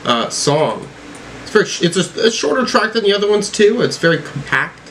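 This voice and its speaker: A man giving casual music reaction commentary, halting as he thinks aloud. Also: high voice, big pitch swings, low-quality recording. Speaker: music reviewer reacting to songs.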